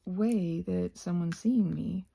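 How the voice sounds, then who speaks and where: An American woman rambling casually while she does crafts, halting and trailing off into soft-spoken asides. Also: fairly low pitch, vocal fry, husky. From United States. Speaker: craft and ASMR channel host.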